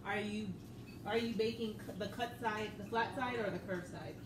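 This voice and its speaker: A woman off camera reading viewer questions aloud with brief, soft-spoken remarks. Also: mid-range voice, normal speed. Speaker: helper reading viewer comments. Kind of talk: off-camera asides. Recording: distant, echoey, noisy kitchen.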